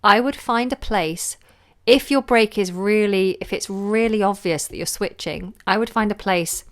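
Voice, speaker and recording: A woman vocal coach giving chatty live feedback, with a low voice and a lingering cold. She talks loosely, with fillers and lost words. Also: normal speed. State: recovering from a cold. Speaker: singing teacher.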